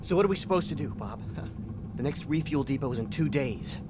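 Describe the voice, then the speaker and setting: A man's voice: medium pitch, animated, fast, very noisy, natural voice. A man playing an anxious, edgy spaceship crewman in a sci-fi radio drama, urgent and hesitant. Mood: tense, wary. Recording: loud music bed under the voice.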